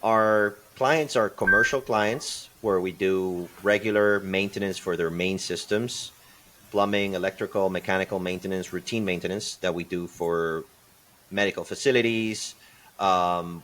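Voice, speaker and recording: A man from Peru, answering interview questions as a podcast guest in a thoughtful way, pausing and restarting often. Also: low voice, fairly bright tone. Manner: thoughtful, many fillers and restarts. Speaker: podcast guest, construction company partner.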